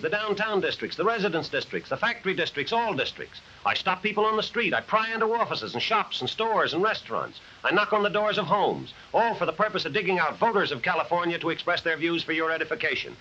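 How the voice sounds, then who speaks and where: A hissy, dull 1930s film soundtrack carries an insistent newsreel cameraman. He is a high-voiced man with very animated pitch and a quick, theatrical, rhythmic delivery. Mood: brisk, earnest. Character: brisk, insistent male newsreel inquiring cameraman.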